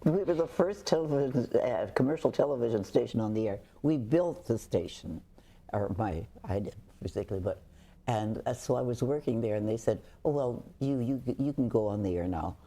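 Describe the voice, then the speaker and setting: Older woman, interview guest, husky and mellow, halting storyteller. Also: extremely low pitch, expressive. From Canada. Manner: halting, with frequent restarts and hesitations. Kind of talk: reflective conversational storytelling.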